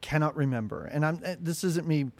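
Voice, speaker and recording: A man's voice: fairly high pitch, a little fast, a little mellow. A man with a nasal voice, a chatty and self-deprecating guest on a podcast, laughing at his own jokes. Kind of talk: casual podcast chat.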